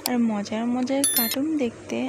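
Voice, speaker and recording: Fairly high-voiced woman, noisy background. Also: steady tone, normal speed. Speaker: channel narrator. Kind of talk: scripted channel sign-off. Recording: clearly noisy, ambience behind.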